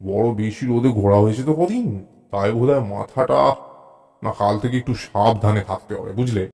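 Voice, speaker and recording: A man voicing an eccentric, agitated gentleman in an audio drama, with hushed, erratic, sibilant speech. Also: on the low side, normal speed, vocal fry, pitched below the performer's own voice. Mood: forced composure. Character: eccentric, agitated Bengali gentleman hissing an eerie snake rhyme. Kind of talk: audio drama character acting. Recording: music behind the voice.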